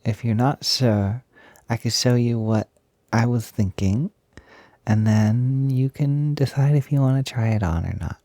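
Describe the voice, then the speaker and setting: A man's voice: medium pitch, a little slow, warm tone, no room sound, clean studio sound. A young man performing a one-sided audio roleplay as a playful, eager, feminine male roommate, chatty and coaxing with a hesitant delivery. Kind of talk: intimate improvised-sounding audio roleplay, one-sided conversation.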